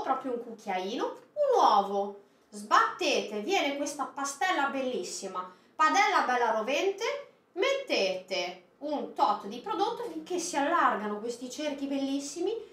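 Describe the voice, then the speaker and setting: A woman's voice: high-pitched, sing-song, unhurried, fairly bright tone. A woman in her thirties presenting a food box unboxing, talking straight to viewers, asking them questions and giving recipe tips from a small indoor set. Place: small indoor studio set with produce crates. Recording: echoey room.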